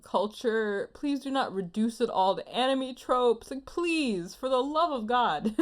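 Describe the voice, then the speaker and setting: Woman, anime podcast co-host, higher voice, very lively intonation, casual and emphatic. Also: unhurried. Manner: expressive, exasperated emphasis, laughs readily. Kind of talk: casual chat about anime.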